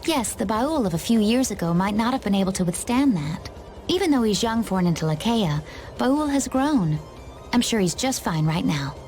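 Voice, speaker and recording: Woman as a poised, mid-pitched warrior lady. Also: normal speed, faint music behind, lightly acted. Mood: calm. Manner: calm, poised, knowing. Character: composed, gently teasing young woman warrior. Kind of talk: anime video game voice acting.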